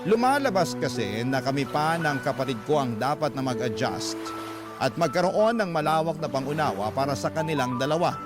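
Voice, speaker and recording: Male radio storyteller over loud music. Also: on the high side, expressive, brisk. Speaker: radio drama narrator. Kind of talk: scripted first-person story narration.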